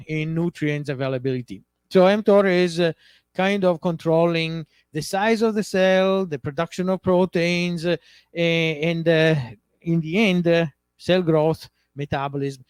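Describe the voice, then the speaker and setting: A man with an Italian accent giving an academic lecture, speaking in a halting way with many filler sounds. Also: a little high, clean tone, dry recording. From Italy. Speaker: visiting professor giving a lecture.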